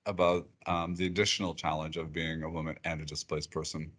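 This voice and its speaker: A middle-aged man with a very low voice moderates a roundtable discussion. He asks questions in a measured, thoughtful way. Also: level tone, fairly bright tone. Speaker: university president, moderator.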